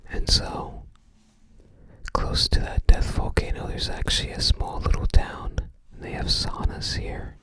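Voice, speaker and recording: ASMR creator, whispers throughout, takes it very slowly, casual and musing. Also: poor audio. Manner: unhurried, musing, tentative recollection. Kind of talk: whispered ASMR, casual game guide commentary.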